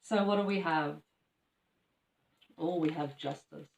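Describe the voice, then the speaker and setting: Croaky woman doing a tarot reading, lots of ums, far off the mic, roomy echo. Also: sits low, measured pace, darkish tone. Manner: rambling, many ums and restarts. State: slightly croaky from allergies. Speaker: tarot reader. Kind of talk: casual spoken tarot reading. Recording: echoey room from far off the mic.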